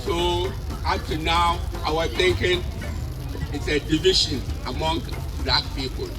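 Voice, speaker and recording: A man's voice: on the high side, takes it slowly. A man from Africa giving an impassioned public speech at a gathering, emphatic and repetitive in short phrases. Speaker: event speaker. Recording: distant mic, music and crowd behind.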